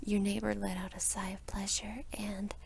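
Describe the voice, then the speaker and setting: A young woman narrating a scripted second-person ASMR story in a soft whisper. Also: mid-range voice, flat delivery, normal speed. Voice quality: whispery, breathy.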